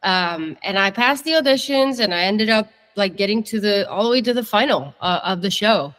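A woman's voice: medium pitch, animated, normal speed, a bit bright, big-room sound. A young woman from Italy, a singer-songwriter telling her story in a casual interview, chatty and candid.

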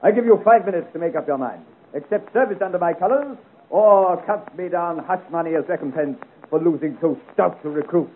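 An actor playing a mocking, swaggering captain of cutthroats with florid, sneering speech, in a 1950 radio serial. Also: male voice, a little high, fairly quick, few pauses, husky. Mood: smug, mock-gallant. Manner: florid, sneering, theatrical speech with mock gallantry. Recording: tinny, hissy old 1950 radio recording.

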